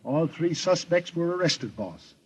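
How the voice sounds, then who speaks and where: A man playing an eager, plain-spoken detective's assistant in an old-time radio drama, with a folksy, animated way of talking. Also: fairly high pitch, a little slow. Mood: intrigued. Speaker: radio actor. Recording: muffled, noisy vintage radio recording.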